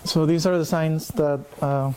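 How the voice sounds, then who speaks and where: A man in his twenties from El Salvador, a native Spanish speaker, talking in a reflective, soft-spoken way in an interview. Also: on the high side, steady tone, a little slow, background noise. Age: 20s.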